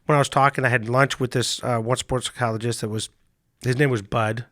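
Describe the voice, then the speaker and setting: A male coach with a hoarse voice from a cold, telling stories in a relaxed chat with frequent restarts. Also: medium pitch, brisk, dry recording, studio quality. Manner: relaxed storytelling with many restarts. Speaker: mental management coach. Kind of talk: casual podcast chat.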